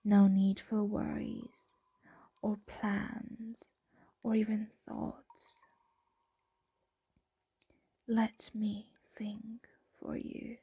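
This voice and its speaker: A woman playing a possessive, doting dragon captor in an ASMR roleplay, whispering slowly and hypnotically close to the microphone. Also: mid-range voice, little pitch movement, no room sound, exaggerated voice. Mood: soothing, hypnotic. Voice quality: breathy whisper. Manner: slow, hushed, hypnotic coaxing. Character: possessive, doting female dragon captor soothing their pet.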